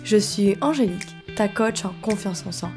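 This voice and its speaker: A woman's voice: mid-range voice, normal speed. A woman hosting her podcast as a confidence coach, upbeat and speaking directly to the listener. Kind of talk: scripted podcast intro, then interview. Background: guitar music under the intro.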